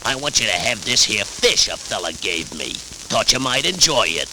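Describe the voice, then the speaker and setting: Casting: folksy, kindly tough-guy bulldog, expressive pitch, noisy old cartoon record. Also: moderate pace. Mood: reassuring. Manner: slangy, folksy. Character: big, gruff but kindly cartoon bulldog. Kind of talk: vintage children's cartoon record acting. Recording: noisy vintage 78rpm record.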